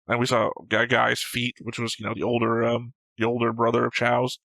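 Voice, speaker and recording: Bright-voiced man debating, very crisp and close. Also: medium pitch, completely flat delivery, a little fast, no echo, rough recording. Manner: argumentative, matter-of-fact, picking apart points. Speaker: co-host of an anime reaction show. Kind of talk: casual analytical debate, discussion.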